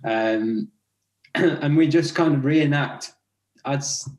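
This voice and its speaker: A man's voice: fairly high pitch, takes it slowly, echoey room, low-quality recording. A young man with an English accent, raised partly in Scotland, chatting casually as a podcast guest and laughing as he tells stories. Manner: relaxed, chatty, laughs while telling stories. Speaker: podcast guest, racing rider. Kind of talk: casual interview chat.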